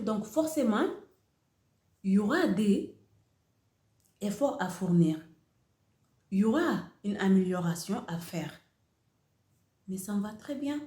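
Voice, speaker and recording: Slow-speaking woman, echoey room. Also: medium pitch, warm tone. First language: Wolof. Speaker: host of a motivational channel. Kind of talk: motivational talk to camera.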